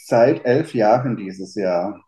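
A man's voice: lowish voice, slow, mellow, rough recording. A man in his thirties answering interview questions casually, rambling with many fillers. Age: 30s. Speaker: interview guest, father.

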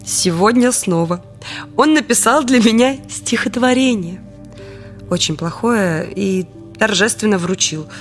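A woman's voice: mid-range voice, animated, unhurried, lightly acted. Actress as wry woman confiding, faint music behind. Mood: wry annoyance. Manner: conversational, ironic. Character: sardonic, indignant Kyiv woman recounting wartime everyday life. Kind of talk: documentary audio play monologue.

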